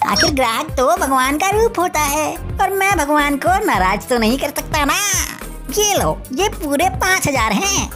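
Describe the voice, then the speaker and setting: Lady doing an eager junk dealer guy for a cartoon, high voice, sweet-talking, music behind. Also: animated, unhurried. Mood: ingratiating.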